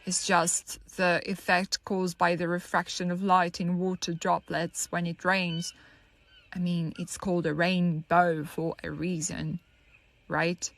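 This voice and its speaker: A woman playing a troubled, lonely young woman in an audio monologue, halting, wry and conversational as she muses bitterly. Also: fairly low pitch, flat delivery, dry recording. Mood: wry, musing. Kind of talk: dramatic monologue, audio drama.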